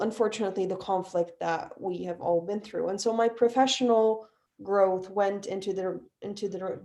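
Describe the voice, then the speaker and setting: A woman in her twenties from Bosnia and Herzegovina speaks as a panelist. Her voice sits at an average pitch. She talks earnestly and fluently, with a few fillers along the way. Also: darkish tone. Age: 20s. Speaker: panelist, facilitator. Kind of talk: online panel talk.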